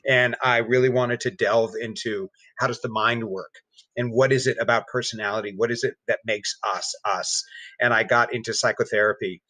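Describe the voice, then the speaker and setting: A man's voice: mid-range voice, steady tone, normal speed, bright, forceful tone, no room sound, poor audio. An American man from the West Coast, a psychotherapist guest earnestly telling his own story in an interview.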